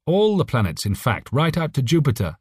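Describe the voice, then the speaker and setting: An alien mind from a science fiction audiobook, voiced by a man, pleads across space. It sounds urgent and despairing, with the pitch pushed up. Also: sing-song, a little fast, a little mellow, slightly rough, dry recording.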